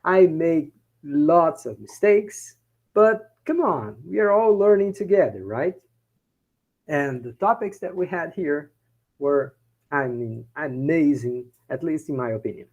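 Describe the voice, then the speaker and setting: A man's voice: fairly high pitch, animated, a little slow, darkish tone, dull audio. Brazilian man lecturing to a class. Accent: Brazilian Portuguese. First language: Portuguese. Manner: repeats points in Portuguese, checks in with "okay?". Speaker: university professor.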